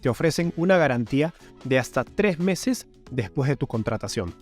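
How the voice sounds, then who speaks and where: A man's voice: mid-range voice, lively intonation, normal speed, faint music behind. A man hosting an interview podcast, presenting and asking questions. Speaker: podcast host. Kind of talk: scripted narration and podcast interviewing.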